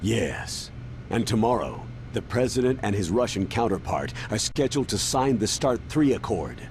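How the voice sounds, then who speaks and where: An actor playing an older military commander in a video game, grave, measured and earnest, speaking with weary authority. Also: male voice, lowish voice, very animated, a little mellow, a little hiss or hum. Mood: grave, evasive.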